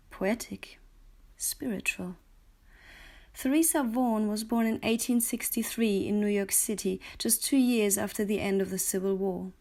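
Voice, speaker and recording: German lady from bavaria doing a voice over read, accented english, medium pitched voice. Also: darkish tone. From Munich, Bavaria, Germany. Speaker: voice-over artist, reader. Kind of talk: scripted narration, reading aloud with commentary.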